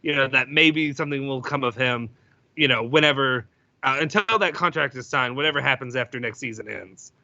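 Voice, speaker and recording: Man, podcast host, casual chat, loud voice, dry close sound. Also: medium pitch, fairly quick, vocal fry, dry recording, muffled recording. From United States. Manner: chatty, rambling, many fillers and restarts.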